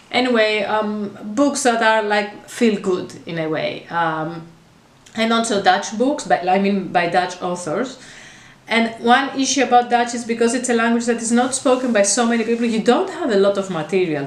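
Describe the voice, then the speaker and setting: Woman, English, casual vlog chat, rambling with fillers, middle pitch, echoey room. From Greece. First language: Greek. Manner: chatty and meandering, with frequent fillers and restarts. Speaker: vlogger. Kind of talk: casual talk to camera. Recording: roomy echo.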